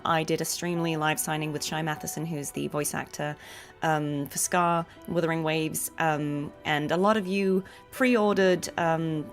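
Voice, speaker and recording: Low-voiced woman chatting on a game stream. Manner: chatty, informal, chuckles easily. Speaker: voice actress livestreaming gameplay. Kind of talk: casual livestream chat while playing a game. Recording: faint game music behind voice. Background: faint game music.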